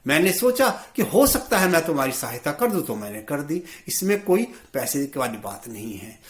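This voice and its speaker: A man's voice: on the high side, very animated, normal speed, a bit bright. A man voicing a humble, helpful village bullock-cart driver in a moral story, homely and plain-spoken. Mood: modest, explaining. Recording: roomy, echoey sound.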